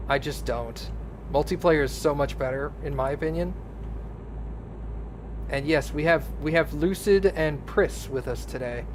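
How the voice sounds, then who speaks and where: A man's voice: a little high, a little slow, noisy background. A man hosting a let's play stream, chatting casually with friends. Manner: relaxed, chatty host. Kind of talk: casual game chat.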